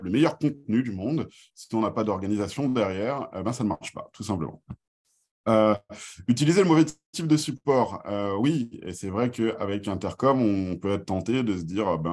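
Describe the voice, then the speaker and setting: A man giving an informal business presentation in a hesitant, halting way with many filled pauses. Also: fairly low pitch, darkish tone. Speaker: workshop presenter.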